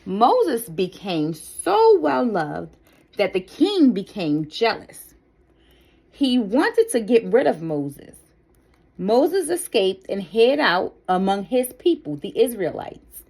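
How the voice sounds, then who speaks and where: A woman reading a children's Bible story aloud as a storyteller, with deliberate, careful and expressive phrasing. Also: mid-range voice, gravelly, husky, rough recording.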